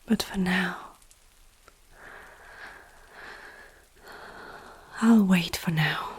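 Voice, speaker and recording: Woman playing a slow, breathy, seductive vampire noblewoman. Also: fairly low pitch. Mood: menacing, seductive. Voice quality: soft, breathy, often near whisper. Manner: slow, teasing, aristocratic and self-assured. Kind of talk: ASMR roleplay addressed to the listener.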